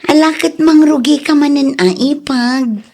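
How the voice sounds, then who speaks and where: An actress with an Ilocano accent playing a gossipy but good-hearted sister-in-law in radio drama style. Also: female voice, sits high, unhurried, darkish tone. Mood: sympathetic.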